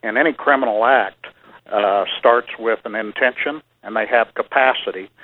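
A man joins a radio talk show by phone, speaking in a measured way with many fillers. His voice sounds thin and phone-filtered. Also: medium pitch. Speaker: church security guest. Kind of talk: radio interview. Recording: phone line.

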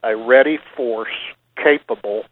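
A man talking about church security as a radio guest, measured and full of fillers, heard over a phone line. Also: mid-range voice. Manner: measured, uses many fillers. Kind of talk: radio interview.

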